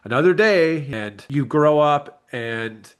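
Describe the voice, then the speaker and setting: A man's voice: a little high, expressive, slow pace, clear, resonant tone. A man, talking candidly and reflectively in a casual interview as a bodybuilder and coach. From Canada. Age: late 30s.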